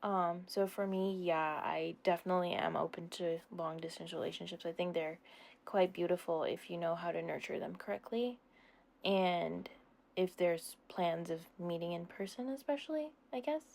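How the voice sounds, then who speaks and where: A young adult woman answering questions in a casual, informal chat, hedging often and gently poking fun at herself. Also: on the low side, moderate pace, vocal fry. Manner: informal, hedging, self-deprecating. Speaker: ASMR roleplay creator answering subscriber questions.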